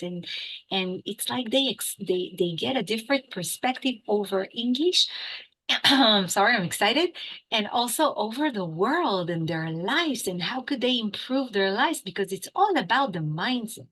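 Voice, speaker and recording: Israeli woman guest, excitable and chatty, mid pitch, keeps restarting her sentences. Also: poor audio. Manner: animated, lots of fillers and restarts. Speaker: English teacher, guest. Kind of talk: casual interview chat.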